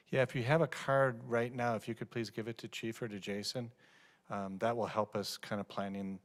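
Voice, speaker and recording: A man's voice: fairly low pitch, level tone, moderate pace, pauses often. A man chairing a city council public hearing, calling speakers politely and procedurally. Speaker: council chair running the meeting. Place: council chambers.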